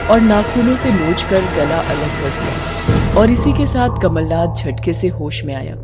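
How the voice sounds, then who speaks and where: A woman narrating a scripted horror story in a dramatic, suspenseful way, slowing for emphasis. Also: on the low side. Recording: loud music under narration.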